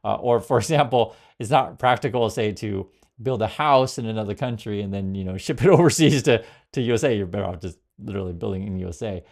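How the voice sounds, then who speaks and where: A man's voice: fairly low pitch, fast talker, creaky, hardly any pauses, darkish tone. A man born in Vietnam hosting a casual commentary channel, rambling with many fillers and reading viewer comments aloud. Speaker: political commentary host. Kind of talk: casual direct-to-camera commentary.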